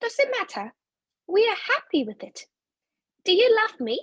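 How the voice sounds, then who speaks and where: A woman's voice: very high voice, big pitch swings, moderate pace, no room sound. A woman playing a giddy, blissfully cruel young woman in love, taunting and theatrical. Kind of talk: theatrical reader's-theatre acting.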